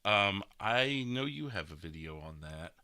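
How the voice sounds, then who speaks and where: Deep, forceful-voiced man hosting a podcast. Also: animated, a little slow, clear, resonant tone. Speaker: podcast host. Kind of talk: casual chat.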